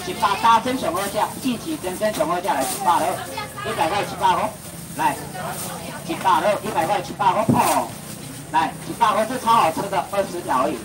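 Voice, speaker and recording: A young man with a Taiwanese accent auctioning seafood, calling out prices in a rapid sing-song patter and bantering with the crowd at an outdoor market. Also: sits high. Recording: distant voice over loud market noise.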